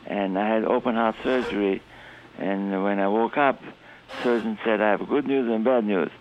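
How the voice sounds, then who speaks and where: A man, a physician guest calmly telling his story. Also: lowish voice, moderate pace, a little hiss or hum. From Israel. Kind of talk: phone interview. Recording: tinny phone line.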